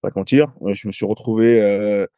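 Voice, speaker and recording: A French man in his thirties, a rugby player guest, telling stories in casual podcast talk, halting with many hesitations and restarts. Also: on the low side, steady tone, dark tone, clear, resonant tone, no echo, clean studio sound. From France. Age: 30s. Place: home in Ireland.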